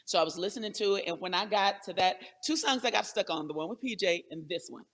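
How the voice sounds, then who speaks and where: A woman's voice: mid-range voice, fast talker, fairly bright tone. A woman hosting a casual interview, enthusiastic and full of emphatic affirmations. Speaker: interview host. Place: remote virtual interview.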